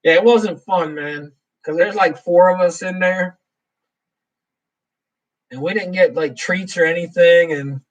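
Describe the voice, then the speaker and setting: A man's voice: high voice, a little slow, long pauses, distant mic, low-quality recording. A man hosting a casual live Q&A, rambling through his answers and reading viewer comments aloud. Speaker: livestream host.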